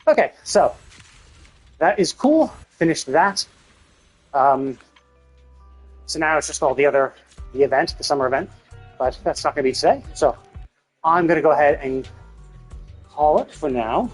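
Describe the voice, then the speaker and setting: Casting: male game streamer, husky and a touch high, loose conversational delivery that wanders as he thinks aloud. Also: animated, normal speed, pauses often, soft background music, rough recording. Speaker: video game livestreamer. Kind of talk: casual chat while streaming games.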